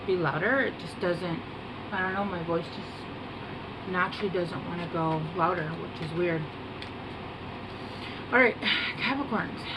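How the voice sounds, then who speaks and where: A woman giving a casual tarot reading to camera, soft-spoken and meandering, with many pauses and fillers. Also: lowish voice. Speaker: tarot reader. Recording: home recording with air-conditioner hum.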